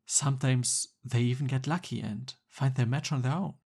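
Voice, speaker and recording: A man's voice: mid-range voice, steady tone, moderate pace, creaky, a little mellow, breathy edge. A man playing a jealous, exasperated young Cupid best friend in a conversational boyfriend roleplay ASMR. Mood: explaining, wry.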